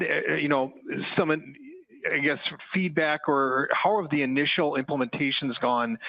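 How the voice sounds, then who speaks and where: A man with a mid-pitched voice asks questions as an analyst on an earnings call. He is halting and trips over his words, and the sound is a bit muffled. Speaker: research analyst. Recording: dull conference phone audio.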